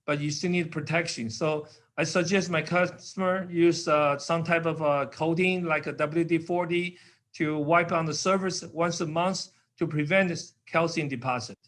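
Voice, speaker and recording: An older man with a Taiwanese accent talks as an interview guest, his voice sitting a little high for a man. His English is simple and a bit broken, with frequent pauses and filler sounds as he explains things in plain, patient terms. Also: steady tone, a bit bright. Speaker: guest, company founder.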